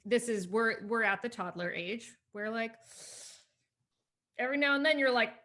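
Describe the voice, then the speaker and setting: A woman's voice: a little high, lively intonation, moderate pace, fairly bright tone. A young adult woman hosting a casual podcast interview, talking conversationally and often affirming her guest. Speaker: podcast host.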